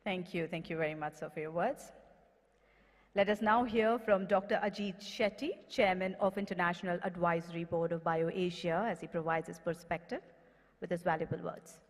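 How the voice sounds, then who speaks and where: A woman's voice: lowish voice, steady tone, normal speed. Indian female emcee in a reverberant hall. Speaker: event emcee. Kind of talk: formal scripted stage announcing. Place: large conference hall, at podium. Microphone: podium gooseneck microphone. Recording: reverberant hall public address.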